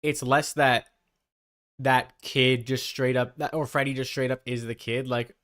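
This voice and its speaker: Male streamer, clear resonant voice, loose conversational delivery that wanders as he thinks aloud. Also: medium pitch, fairly even intonation, unhurried, a bit bright. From Canada. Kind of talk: casual livestream chat.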